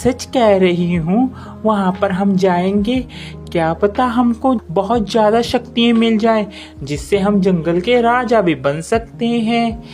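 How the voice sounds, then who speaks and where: A woman's voice: medium pitch, takes it slowly, darkish tone, faint music behind, pitched above the performer's own voice, natural voice. A woman voicing a stubborn, ambitious female fox in a cartoon story, with an insistent, coaxing manner. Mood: eager coaxing.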